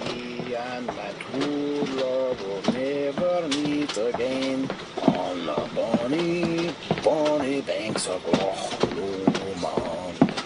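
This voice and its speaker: A man's voice: lively intonation, drawn-out words. An actor playing a mad, threatening Scotsman with a Scottish accent in an audio comedy drama, blunt and menacing. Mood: mocking menace. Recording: very noisy, train rumble behind.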